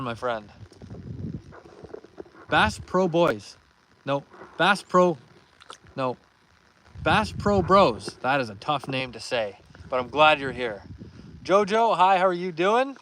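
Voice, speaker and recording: A young man hosting a live fishing stream outdoors, reading viewer comments aloud and giving upbeat shout-outs in a relaxed voice. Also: mid-range voice, a little hiss or hum, low-quality recording. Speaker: fishing livestream host.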